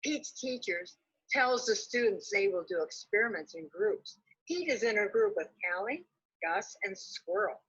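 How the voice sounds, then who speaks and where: A woman's voice: mid-range voice, expressive, measured pace, a bit bright, husky. A woman librarian reading a children's storybook aloud expressively, on dull lo-fi audio.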